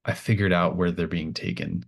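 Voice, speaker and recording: An earnest young follower acted out by a man in a tabletop roleplaying game, speaking in a low voice. The delivery is plain and halting, sincerely asking for help. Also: level tone, creaky, slightly rough, full character voice. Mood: relieved, apologetic.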